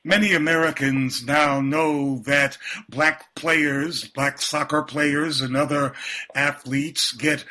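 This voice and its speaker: Slow, bright-voiced male radio interviewer. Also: on the high side, flat delivery, a little hiss or hum.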